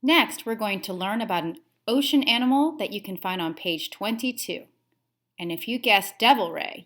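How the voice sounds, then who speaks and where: Woman, teacher read-aloud, bright tone, animated intonation, deliberate instructional phrasing, echoing room. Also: on the high side. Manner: instructional, addresses young listeners directly, deliberate phrasing. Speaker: teacher reading aloud to children. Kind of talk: children's nonfiction read-aloud.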